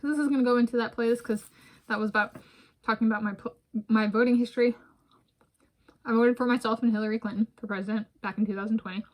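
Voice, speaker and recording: American woman, 30s, slightly high-pitched, for casual digressive home food vlogs, chats between bites. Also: steady tone, fast talker, long pauses, darkish tone. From United States. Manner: rambling, digressive, talks while eating. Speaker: vlogger. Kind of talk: casual food vlog talk. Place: home room with bookshelves, indoors.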